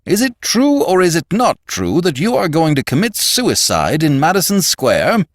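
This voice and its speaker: A man's voice: mid-range voice, huge pitch swings, moderate pace, no room sound, studio quality, voice pushed up. A man voicing a genial, fussing older magazine publisher in a storybook, with a hearty, cajoling, emphatic manner. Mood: demanding.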